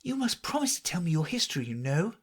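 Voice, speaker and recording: An eager, polite little girl with a high, bright voice, voiced by a man reading aloud. She chatters earnestly with lively rises and falls. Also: fast, breathy edge.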